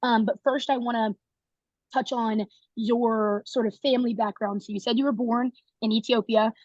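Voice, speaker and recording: A woman's voice: fairly high pitch, steady tone, moderate pace, a little mellow, no echo, lo-fi sound. A young woman hosting a casual video interview, upbeat and chatty with plenty of fillers. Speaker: interview host.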